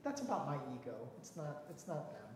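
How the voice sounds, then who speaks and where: A man's voice: fairly high pitch, very animated, a little fast. Brief: male pastor, casual and self-mocking, very echoey church hall. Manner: folksy, self-deprecating, chatty. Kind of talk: leading a church discussion. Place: church sanctuary. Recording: echoey hall, faint noise.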